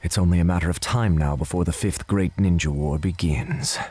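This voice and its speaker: A man playing a cold, scheming villain in an anime game dub, husky, calm, deliberate and ominous. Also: deep voice. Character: cold, scheming male villain plotting war.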